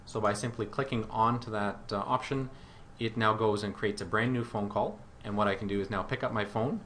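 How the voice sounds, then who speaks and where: A man with a fairly low voice narrates a software tutorial. He explains things step by step in a conversational way, pausing hesitantly now and then. There is some faint noise in the recording. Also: steady tone. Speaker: software demo presenter.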